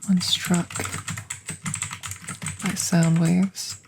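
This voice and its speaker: A woman playing a calm, curious alien scientist in a soft ASMR roleplay, whispering and murmuring close to the mic in a measured, formal way. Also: fairly low pitch, gravelly, noisy background, heavily acted. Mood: curious, clinical. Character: calm, clinical, curious female alien scientist examining a visitor. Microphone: close microphone.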